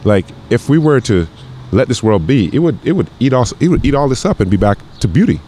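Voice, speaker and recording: A man's voice: mid-range voice, big pitch swings, a little fast, dark tone, slight background noise. A man in his forties from Grenada, a chef guest talking casually, with lots of repeated words and fillers. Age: 40s. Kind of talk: casual conversation.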